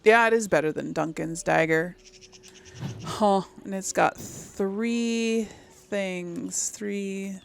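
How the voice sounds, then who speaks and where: A woman's voice: medium pitch, takes it slowly, gravelly. A woman giving casual commentary as she plays a game, thinking aloud in a musing way. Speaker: gamer, series host.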